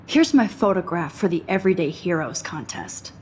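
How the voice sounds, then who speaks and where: A woman voicing an earnest teenage photography student in a video game, soft and often half-whispered. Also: fairly low pitch, sing-song, moderate pace, a little hiss or hum. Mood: pointed. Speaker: game protagonist.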